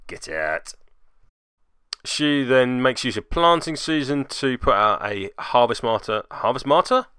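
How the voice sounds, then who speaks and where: A man's voice: mid-range voice, big pitch swings, a little slow, sharp tone, clear, resonant tone, no room sound. A man giving casual play-by-play commentary on a tabletop game, with jokey, dry asides. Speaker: host and player narrating a tabletop game report.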